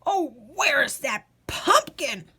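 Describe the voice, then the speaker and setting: Woman doing a loud, croaking, cranky ancient man. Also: high-pitched, big pitch swings, slow, pitched above the performer's own voice, full character voice. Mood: plaintive. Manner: hollering, indignant. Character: cranky eight-hundred-year-old man, blustering and impatient.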